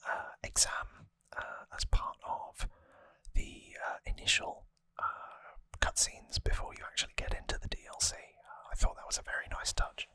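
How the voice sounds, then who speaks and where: A person whispering softly and breathily as an ASMR host, giving an unhurried, rambling recap with gently wry asides, close to the microphone. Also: no echo.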